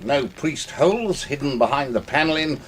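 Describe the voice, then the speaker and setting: A man's voice: on the high side, lively intonation, moderate pace, a little hiss or hum, lightly acted. An actor playing an elderly, courteous British gamesman who shows off deadly contraptions with theatrical relish in a radio drama. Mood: teasing menace. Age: older.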